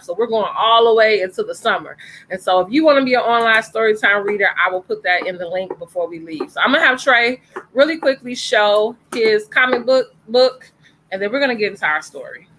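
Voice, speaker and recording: A woman's voice: mid-range voice, a bit bright. An earnest woman hosts a family story time online, talking over a video call from home. Her tone is encouraging and conversational. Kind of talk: casual chat and introduction.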